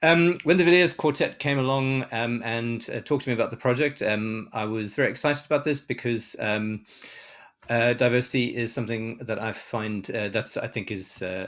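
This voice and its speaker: Hesitant South African man, low voice. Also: a bit bright. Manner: hesitant, lots of ums and restarts. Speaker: composer and lecturer. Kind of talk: conference talk. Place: online symposium.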